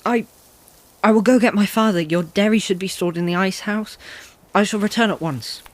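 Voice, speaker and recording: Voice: mid-range voice, normal speed, dry recording, lightly acted. An actor playing a polite, bashful young farm man, hesitant and formal, in a period audio drama. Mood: bashful, eager.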